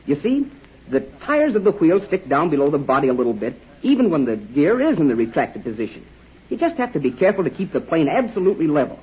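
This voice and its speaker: Cheerful boy sidekick, bright and animated, husky, dull radio sound. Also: fairly high pitch, fast talker, heavily acted. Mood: eager. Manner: cheerful, eager, wholesome. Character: earnest young American boy sidekick. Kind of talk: 1940s radio acting. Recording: muffled old radio recording.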